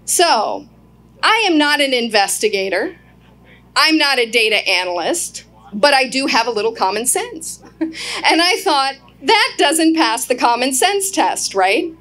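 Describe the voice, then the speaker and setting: An earnest woman in her forties speaks to a live crowd, her fairly high voice carrying through a PA from a little way off. She speaks directly to the audience and asks them questions. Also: very animated, measured pace, a bit bright. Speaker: guest speaker. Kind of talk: public speech to a live crowd. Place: outdoor event.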